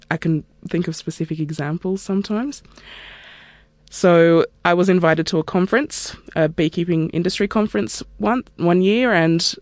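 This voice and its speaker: This is a woman in her thirties, a scientist telling her own story in a relaxed, candid way. Her voice comes across close and dry, with no room sound around it. She talks things through reflectively, like she's thinking aloud. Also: low voice, no echo. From Australia. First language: Turkish. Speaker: microbiologist and research scientist. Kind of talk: interview monologue.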